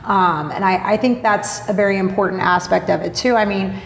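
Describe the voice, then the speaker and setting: A woman's voice: medium pitch, fairly even intonation, fairly quick, gravelly. A woman guest in an interview, talking bluntly and openly with lots of fillers and some swearing. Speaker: podcast guest, marketing blogger. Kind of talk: candid interview talk. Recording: roomy echo with faint noise.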